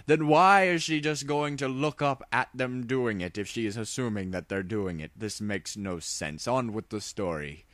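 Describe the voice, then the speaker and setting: A man's voice: mid-range voice, moderate pace, hardly any pauses, a bit bright, dry recording. A man narrating a story as a mock-dramatic comic reading, with wry asides of his own.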